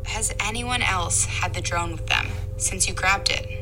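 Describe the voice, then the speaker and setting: A woman's voice: lowish voice, measured pace, over music, poor audio. A woman acting in an audio drama as a concerned, curious caller, speaking casually and tentatively.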